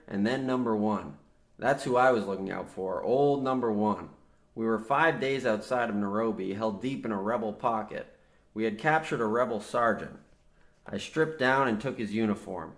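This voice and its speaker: A man's voice: mid-range voice, natural voice. A man playing a younger coal miner, earnest, desperate and guilt-ridden, in an old-time radio comedy. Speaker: radio play actor.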